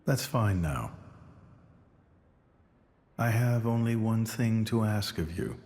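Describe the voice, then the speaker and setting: An actor voicing a grave, courteous leader in a video game, speaking in a formal, solemn and measured way. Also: male voice, lowish voice, darkish tone, slight background noise. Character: grave, courteous male leader burdened by regret.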